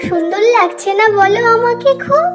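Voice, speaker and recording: A woman's voice: very high-pitched, animated, normal speed, loud music behind the voice. A woman voicing a cheeky, plucky little bird girl in a children's fairy-tale cartoon, with a childlike, sing-song delivery. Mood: pleased, boastful. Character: cheeky, plucky little tailorbird girl who protests and taunts.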